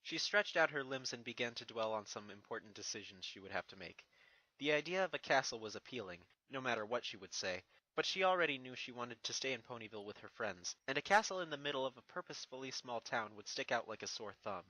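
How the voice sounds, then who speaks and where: A narrator reading a scripted fan fiction story in an expressive storytelling manner. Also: mid-range voice, quick pace, no echo, poor audio.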